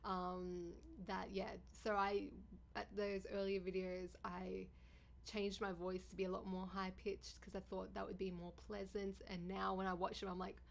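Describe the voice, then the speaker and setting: A woman with a deep, raspy voice and a gravelly edge talks casually on a livestream. She keeps up a chatty, bubbly flow with viewers and thanks them often. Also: level tone, moderate pace. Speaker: livestreamer and YouTuber.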